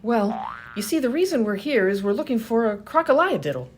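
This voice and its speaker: A woman's voice: on the high side, very animated, brisk, a little hiss or hum. A woman playing a sarcastic, impatient adventurer in a comedy radio play, with a dry delivery.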